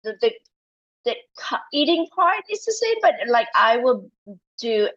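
A woman's voice: fairly high pitch, big pitch swings, unhurried, a bit bright, lo-fi sound. A woman from Malaysia, a guest in a casual interview, talking animatedly with many fillers and restarts.

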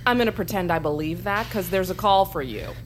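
A woman's voice: lowish voice, expressive, brisk, slight background noise, close to an everyday voice. A woman with an American accent playing a harsh, sarcastic army drill sergeant who barks in an audio drama. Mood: exasperated, sarcastic. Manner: barking, sarcastic.

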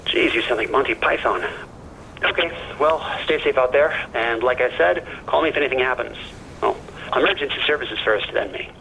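An actor playing a cautious, dry-humored friend who worries, speaking hesitantly in a naturalistic audio drama over a radio call. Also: male voice, mid-range voice, fairly quick, creaky, pushed and bright, a little hiss or hum, rough recording. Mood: wry concern. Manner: hesitant, fretful, wry.

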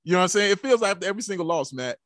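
High-pitched, emphatic man talking sports. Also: expressive, racing, fairly bright tone, no room sound, studio quality. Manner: emphatic, preachy, rhetorical questions and repeated catchphrases. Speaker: sports podcast co-host. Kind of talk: casual sports fan commentary.

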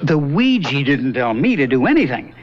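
Wry, teasing skeptic played by a man for a radio play: mid-pitched with animated inflection, sardonic delivery. Lo-fi broadcast with faint hiss. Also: normal speed, lightly acted. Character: wry skeptical man, always wanting a drink. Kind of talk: 1970s radio drama acting.

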